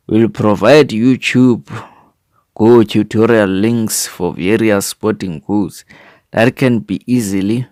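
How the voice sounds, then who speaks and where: A man with a South African accent reading a prepared manifesto in a formal, deliberate manner, occasionally stumbling over a word. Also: lowish voice, a little mellow, no room sound, clean studio sound. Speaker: reader of a sport development framework.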